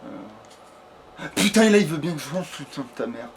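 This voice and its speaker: A man's voice: fairly high pitch, animated, moderate pace, vocal fry, a crowd in the background. A man giving casual, rambling gameplay commentary as a let's play host, swearing freely and talking crudely.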